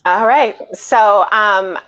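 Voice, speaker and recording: A woman's voice: mid-range voice, very slow, fairly bright tone. Woman reviewer chatting, slightly dull sound. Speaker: panelist on a film review show. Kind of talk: casual chat giving opinions.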